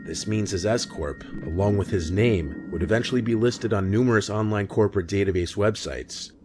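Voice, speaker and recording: A man reading a scripted scary-story narration in a measured, matter-of-fact way. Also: fairly low pitch. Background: music bed.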